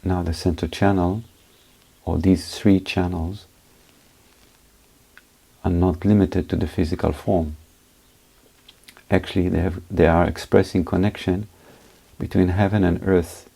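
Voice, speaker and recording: Guy teaching a guided meditation, soft mellow tone, pretty flat melody, takes his time between phrases. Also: deep voice, vocal fry, slightly rough, rough recording. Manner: unhurried, spaced short phrases with pauses.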